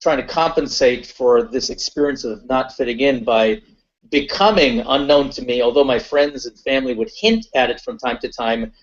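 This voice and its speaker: A man's voice: medium pitch, expressive, moderate pace. A man from Guyana hosting a webinar, telling a personal story in a reflective way with many fillers. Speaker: webinar host. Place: online call. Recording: muffled webinar call audio.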